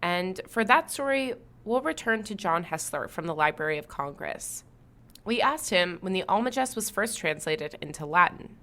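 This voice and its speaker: Female podcast host, scripted narration, lowish voice, smooth read. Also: expressive, normal speed, sharp tone.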